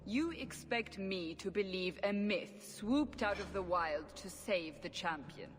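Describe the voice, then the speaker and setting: A woman's voice: mid-range voice, lively intonation, measured pace, big-room sound, slight background noise, lightly acted. An actress playing a suspicious female interrogator who speaks curtly and demandingly. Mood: stern. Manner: curt, demanding.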